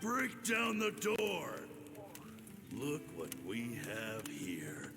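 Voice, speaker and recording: A cruel, swooping military captain. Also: male voice, medium pitch, huge pitch swings, drawn-out words, vocal fry, heavily acted. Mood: enraged, gloating. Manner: menacing, gloating, barking orders. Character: cruel, contemptuous male military captain leading an extermination. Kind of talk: dramatic video game acting. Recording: echoing hall, loud music behind.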